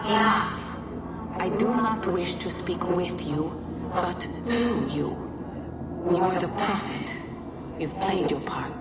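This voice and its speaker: A woman's voice: lowish voice, slow pace, heavy background noise. A woman voicing an ancient, imperious goddess-like being in a game cutscene, speaking in a stately, portentous, aloof way. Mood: grave, imperious. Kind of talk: solemn video game cutscene monologue. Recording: echoing, distant, very loud music behind.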